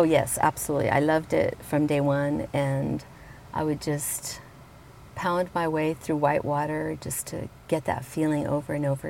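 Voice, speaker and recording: A woman in her fifties from Colombia, talking about her life as a guest, reflective and warm, with frequent ums and soft laughs. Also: sits low, vocal fry, a little hiss or hum. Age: 50s. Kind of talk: casual conversation. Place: outdoors at a beach.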